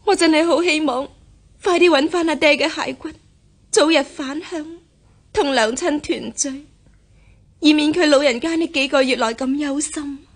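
An actress playing a resolute, devoted young gentlewoman in a historical radio drama. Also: sits high, normal speed, lightly acted. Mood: anxious, longing. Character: resolute, devoted young daughter of a gentry household.

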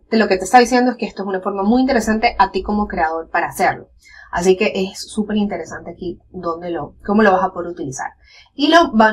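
Woman, 30s, chatty tutorial host, explains on a desk mic at home. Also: mid-range voice. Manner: chatty, explains step by step with many fillers. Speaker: online business educator. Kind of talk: screen-share tutorial. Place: home room, webcam corner inset.

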